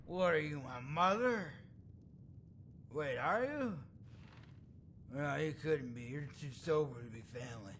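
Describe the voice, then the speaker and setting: An actor playing a rambling, muddled tavern drunk in a video game, with slurred speech. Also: male voice, lively intonation, a little slow, pauses often. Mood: gruff, muddled. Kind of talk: video game character dialogue. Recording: noisy game audio with low rumble.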